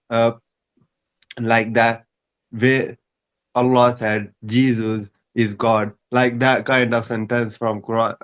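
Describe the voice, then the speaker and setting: Pakistani guy calling in, mid pitch, pushy, keeps saying brother, kinda muffled lo-fi audio. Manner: insistent, calls everyone brother. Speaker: caller. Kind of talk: debate call-in.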